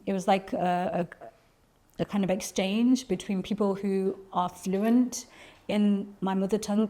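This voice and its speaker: A woman poet, answering interview questions thoughtfully and pausing often to find her words. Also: medium pitch, mellow. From Birmingham, England. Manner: thoughtful, searching for words with frequent ums and restarts.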